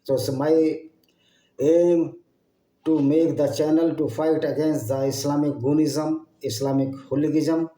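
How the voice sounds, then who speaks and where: Indian guy ranting to his channel in broken english, kinda high mellow voice, echoey room. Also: steady tone. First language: Hindi. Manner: halting non-native English, repeats and rephrases phrases. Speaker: channel host. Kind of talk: impassioned solo monologue to viewers.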